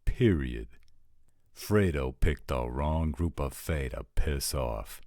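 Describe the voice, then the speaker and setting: A man's voice: a bass voice, animated, slow, a little mellow, no room sound, clean studio sound, exaggerated voice. A man playing a blunt, roguish supernatural scout who talks in casual street slang, in an audio roleplay drama. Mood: blunt, matter-of-fact.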